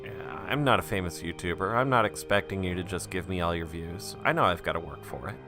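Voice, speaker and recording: A man's voice: sits low, fairly quick. A man speaking in a casual, self-deprecating ramble, like an audio diary for his channel. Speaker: YouTube creator recording an audio diary. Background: music.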